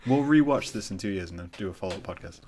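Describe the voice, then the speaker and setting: A man's voice: low voice, expressive, racing, a little mellow. A man hosting his podcast, chatting casually with an old friend in a laid-back, teasing way. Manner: laid-back, jokey, trails off into laughs. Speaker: podcast host. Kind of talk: casual chat.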